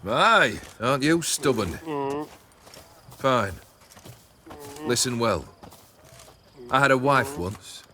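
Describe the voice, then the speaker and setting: An actor playing a fearless, brooding brigand lord in a video game, with grand, archaic, theatrical phrasing. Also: male voice, medium pitch, big pitch swings, slow, long pauses, pushed and bright, exaggerated voice. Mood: commanding, wistful. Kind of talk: dramatic video game voice acting.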